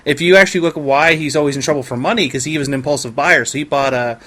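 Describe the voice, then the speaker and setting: A man's voice: on the high side, rapid-fire, pushed and bright. A man chatting casually on a podcast, telling stories in a jokey way and acting out the dialogue. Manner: jokey, swearing, acts out quoted dialogue. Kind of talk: casual podcast chat, animated storytelling.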